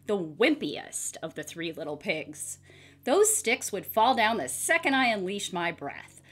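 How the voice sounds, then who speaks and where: A woman reading a children's book aloud in an expressive, theatrical storytelling style. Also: on the high side. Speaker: storybook reader.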